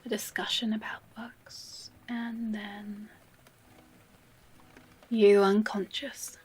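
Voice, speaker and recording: A woman playing an obsessive, possessive librarian captor in a dramatic audio roleplay, breathy and often whispering, with theatrical pauses and a tenderly menacing manner. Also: mid-range voice, little pitch movement, a little mellow, heavily acted. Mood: confessing, tender.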